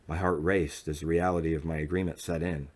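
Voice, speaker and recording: A man narrating an audiobook in the first person, with a measured, dramatic reading manner. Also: a bass voice, level tone, a little mellow.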